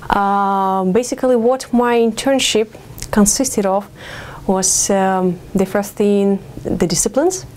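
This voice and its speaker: Young woman, Ukrainian accent, doctoral student, interview answers, thoughtful, pauses and fillers, middle pitch, lapel mic. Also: darkish tone, slight background noise. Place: studio with campus backdrop.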